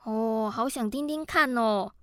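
An actress playing a nosy, chatty middle-aged neighbor who sits on the building committee, talking with a Taiwanese Mandarin accent and lots of drawn-out fillers. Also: female voice, on the high side, no room sound, studio quality, lightly acted. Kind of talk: radio drama acting.

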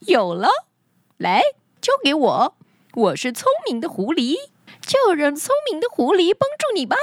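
A woman plays a clever, helpful fairy-tale fox with a trader's patter. The voice is high and bouncy, confident and coaxing. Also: sing-song, moderate pace, no room sound, studio quality.

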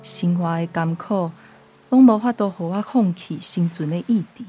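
Tender, low-voiced ghostly mother. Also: moderate pace, dark tone, husky, faint music behind. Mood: tender, sorrowful. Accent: Taiwanese. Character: young granddaughter channelling a tender, self-sacrificing ghost mother. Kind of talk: radio drama acting.

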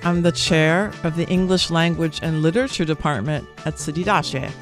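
A woman's voice: low voice, brisk, over music. Enthusiastic woman radio host. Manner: enthusiastic, frequent exclamations and fillers. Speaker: radio show host and English department chair. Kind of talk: friendly interview.

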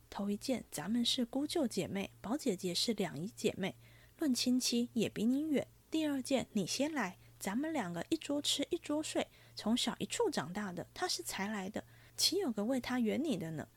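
A woman voicing a gentle young nobleman in a storybook reading, speaking in a soothing, pleading way. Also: fairly high pitch, sing-song, normal speed, breathy edge, dry recording, exaggerated voice.

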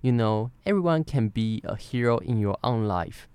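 Clear-voiced Taiwanese man telling a story. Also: medium pitch, lively intonation, moderate pace, darkish tone, no room sound. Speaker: podcast co-host. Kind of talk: semi-scripted personal storytelling.

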